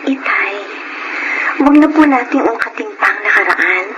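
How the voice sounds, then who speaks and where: A woman's voice: on the high side, expressive, moderate pace, lightly acted. A woman with a Filipino accent playing a forgiving young daughter, speaking respectfully and reassuringly in a Tagalog radio drama. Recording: dull, very noisy radio drama recording.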